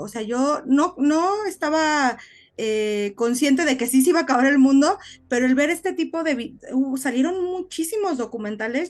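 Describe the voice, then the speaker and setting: A woman's voice: sits high, darkish tone. A woman with a Mexican accent co-hosting a casual podcast, talking in a rambling, searching way. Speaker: podcast co-host.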